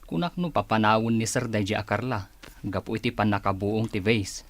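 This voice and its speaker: A man playing a curious, gossipy office employee with a husky voice and a Filipino accent in a radio drama. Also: on the low side, fairly quick, close to an everyday voice.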